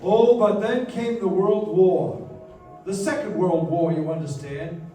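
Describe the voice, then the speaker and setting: High-voiced angel storyteller played by a man. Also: warm tone. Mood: storytelling. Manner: explanatory, measured storytelling. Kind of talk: radio play narration. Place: live stage in a dance hall. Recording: echoey dance hall, distant, faint music.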